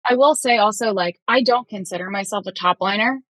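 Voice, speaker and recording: A woman's voice: mid-range voice, fairly quick, fairly bright tone, no room sound. A young woman guest talking candidly in a casual podcast chat, with many fillers and restarts. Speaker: guest composer and vocalist.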